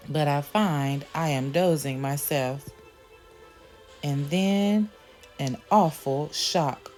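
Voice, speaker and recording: A woman reads a storybook aloud at an unhurried, deliberate pace, her tone warm and mellow and pitched on the low side. She tells it with careful expression, and soft music plays faintly behind her. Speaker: children's book reader and channel host.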